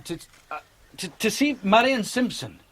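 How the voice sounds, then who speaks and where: An actor playing an earnest, courteous young Scottish Presbyterian minister in a radio drama, educated and slightly hesitant. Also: male voice, high-pitched, animated, moderate pace, a bit bright. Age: young adult.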